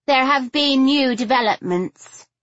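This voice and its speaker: A woman's voice: high-pitched, measured pace, fairly bright tone, no echo, muffled recording, pitched above the performer's own voice. A woman voicing a haughty, sour Victorian lady in an audiobook story, with imperious, scornful and formal speech. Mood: imperious, demanding.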